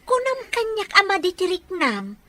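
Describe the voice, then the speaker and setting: A woman's voice: very high-pitched, big pitch swings, normal speed, a crowd in the background. A woman acting a sweet, understanding young girlfriend with an Ilocano accent in a radio drama. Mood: puzzled, surprised. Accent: Filipino, Ilocano. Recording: music behind the voice.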